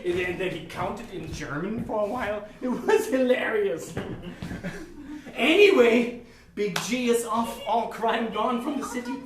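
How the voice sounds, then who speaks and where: An eager young gnome hero, as a woman plays him, with a lively, swooping pitch and an unhurried pace. The sound is distant and echoey, with clear hiss from the live stage. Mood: earnest. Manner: earnest, lively, laughs often. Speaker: improv performer. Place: theatre stage.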